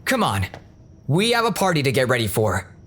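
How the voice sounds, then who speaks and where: Man playing an animated, earnest young college nerd. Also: medium pitch, normal speed, a little hiss or hum. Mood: relieved, upbeat. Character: earnest nerdy young male college student, defiant. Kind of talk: audio drama acting.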